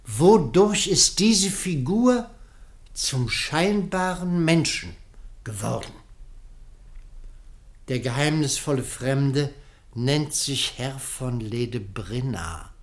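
Slow, declamatory pompous male prosecutor. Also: mid-range voice, expressive. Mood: accusing, insistent. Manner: declamatory, deliberate, rhetorical pauses. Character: pompous public prosecutor pleading theatrically in court. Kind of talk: radio play courtroom oratory.

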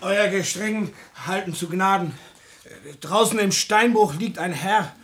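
An actor playing a deferential, simple peasant messenger in a radio comedy, eager and obsequious. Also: male voice, sits high, unhurried, fairly bright tone. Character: deferential, simple peasant man bringing a message. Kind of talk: theatrical radio comedy acting.

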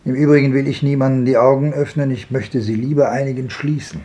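A man's voice: medium pitch, steady tone, a little fast, warm tone, close to an everyday voice. A man playing an ironic old philosopher. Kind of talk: 1950s radio drama acting.

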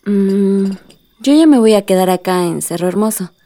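A woman's voice: mid-range voice, animated, moderate pace, dark tone, natural voice. A woman playing an independent-minded, easily exasperated young woman in a radio drama, speaking naturally. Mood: earnest.

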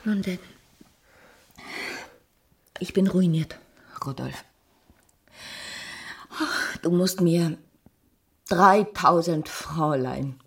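Husky, desperate woman. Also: fairly low pitch, very slow, long pauses, heavily acted. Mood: urgent pleading. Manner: theatrical, intense, swinging between whisper and outburst. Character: desperate, ruined married woman pleading with former lover. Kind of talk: radio drama acting.

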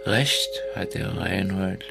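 Gravelly, bitter, injured man. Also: deep voice, slow pace, full character voice. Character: injured, bitter, stubborn man lying in hospital. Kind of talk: radio drama acting. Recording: music behind the dialogue.

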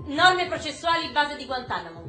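High-voiced indignant woman investigator, roomy echo. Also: big pitch swings, moderate pace, bright, forceful tone, full character voice. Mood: focused, muttering. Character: skeptical woman researcher probing prison records, indignant. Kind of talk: stage monologue in a radio broadcast play. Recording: roomy echo with music underneath.

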